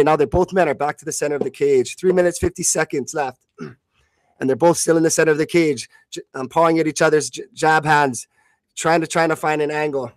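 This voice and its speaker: A man calls a fight live in a fairly high male voice, close and dry on the recording. He narrates blow by blow as a radio commentator, haltingly, often repeating words and fixing his phrases as he goes. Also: no room sound, rough recording. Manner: halting, repeats and corrects himself mid-phrase.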